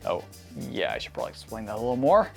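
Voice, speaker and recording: A man's voice: on the low side, huge pitch swings, quick pace, creaky, a little mellow, soft background music. A man, a native Cantonese speaker, narrating a scripted video essay in a wry, self-deprecating way.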